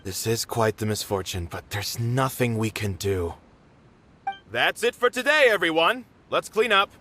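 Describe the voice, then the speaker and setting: A man voicing a polite, conscientious theater manager in an anime game, expressive and careful with his words. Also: medium pitch, a little slow, a little hiss or hum. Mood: resigned.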